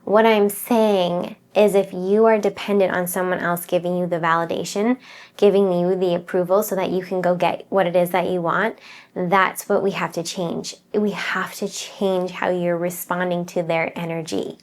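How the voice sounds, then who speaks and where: A woman's voice: on the low side, little pitch movement, normal speed. Earnest woman giving a motivational talk. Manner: earnest, emphatic and encouraging, with repeated phrases. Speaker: manifestation coach. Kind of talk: direct-to-camera motivational talk.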